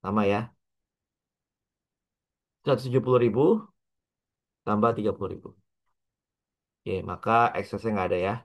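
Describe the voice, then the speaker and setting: A man with an Indonesian accent lecturing on a worked example step by step, halting often, mixing in English terms and adding little checking tags. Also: fairly low pitch. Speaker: accounting lecturer.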